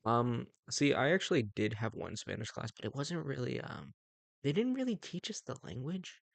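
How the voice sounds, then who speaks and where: A man's voice: mid-range voice, animated, normal speed, vocal fry, no echo. A young man chatting casually on a livestream, rambling with lots of fillers and restarts and chuckling often. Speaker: streamer.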